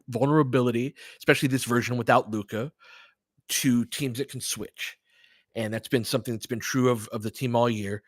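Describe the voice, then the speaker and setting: A man's voice: medium pitch, a bit bright, no echo, clean studio sound. A man hosting a live sports stream, chatting casually and informally, thinking aloud as he gives his commentary. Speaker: sports podcast host. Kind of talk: casual live basketball commentary, replying to chat.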